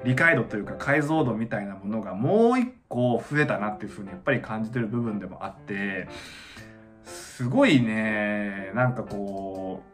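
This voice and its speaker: A young adult man giving casual reaction commentary, excitable and often exclaiming in awe, recorded indoors in a small room. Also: on the low side, moderate pace, soft background music. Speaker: dance reaction channel host.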